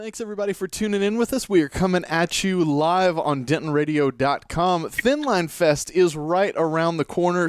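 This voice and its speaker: A man's voice: on the high side, clean tone, dry recording. A man hosting a live radio show, upbeat and enthusiastic, with frequent filler words. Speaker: radio host.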